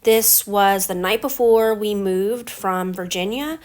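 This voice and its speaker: A middle-aged woman with a stuffy head cold, talking through her scrapbook layout step by step in a relaxed voice-over. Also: mid-range voice, slow. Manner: relaxed, explains each step as she works. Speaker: scrapbooking crafter. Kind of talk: casual craft voice-over.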